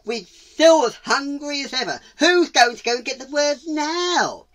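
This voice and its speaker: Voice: high voice, lively intonation, measured pace, a bit bright, voice pushed up. A storyteller voicing a bossy, fed-up owl that scolds and questions in an exasperated way for a children's tale. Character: bossy, fed-up owl, leader of hungry animals.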